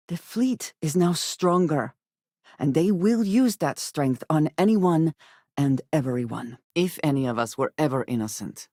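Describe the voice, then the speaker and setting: Game companion role: intense young spacefaring woman, guarded temper, formal measured diction, very dry studio sound. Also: deep voice, dark tone, dry recording. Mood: stern, reproachful. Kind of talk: video game character dialogue.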